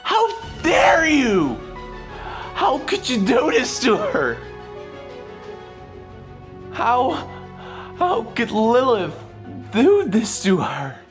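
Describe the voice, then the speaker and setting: A short-tempered male king of hell, voiced by a man, whiny and fed up. He talks slowly with lots of swing in pitch. Faint music plays under the comic dub. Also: wildly swooping pitch, heavily acted. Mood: angry. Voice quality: exasperated, whiny.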